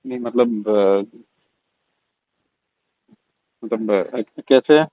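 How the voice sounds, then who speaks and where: A man's voice: fairly low pitch, steady tone, extremely slow. A man playing an insinuating landlord in his forties on a phone call, talking in a hesitant, repetitive way. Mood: hesitant, puzzled. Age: 40s. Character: insinuating middle-aged landlord pressing tenant for rent. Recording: tinny narrowband phone line.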